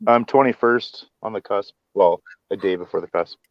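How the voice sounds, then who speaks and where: A man's voice: fairly low pitch, normal speed, no room sound. A man in his forties chiming in with friendly short remarks on a group call, sounding dull and a bit distant. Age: 40s. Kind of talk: casual group video chat.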